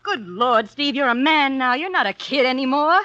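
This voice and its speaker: An actress playing a hard, fed-up wife in a 1950s radio drama. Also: female voice, high voice, expressive, moderate pace, pushed and bright. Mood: firm, angry. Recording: dull, lo-fi 1950s radio recording.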